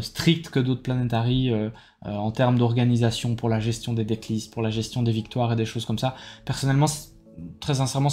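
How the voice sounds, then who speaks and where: A man's voice: medium pitch, dark tone. A tired man with a Belgian French accent gives a casual, rambling debrief to his viewers, full of hesitations and enthusiastic thanks. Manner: rambling, full of fillers and restarts, enthusiastic praise. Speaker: card game YouTuber.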